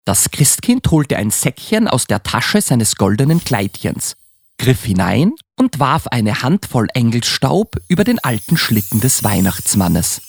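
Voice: mid-range voice, sing-song, unhurried, vocal fry, husky, no room sound. A narrator reading scripted storybook narration for a Christmas radio play.

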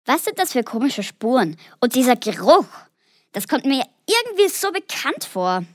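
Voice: sits high, sing-song, normal speed, dry recording, studio quality. A child playing a helpful little dragon who lives in a school attic, in a children's audio play. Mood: weary.

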